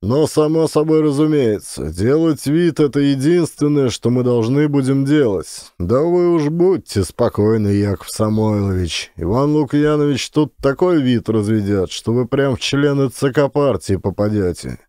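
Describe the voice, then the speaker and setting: A brash, boozy sports instructor played by a male narrator in an audiobook. He banters with breezy familiarity in a mellow, dark-toned voice. The recording is dry and close. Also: medium pitch, expressive, normal speed, few pauses, no room sound, pitched above the performer's own voice, lightly acted. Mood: breezy, teasing. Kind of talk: acted audiobook dialogue.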